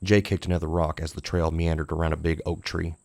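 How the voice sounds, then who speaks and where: A man's voice: low-pitched, flat delivery, quick pace, creaky, a little mellow, dry recording, studio quality. A man narrating a scripted horror short story as an audiobook.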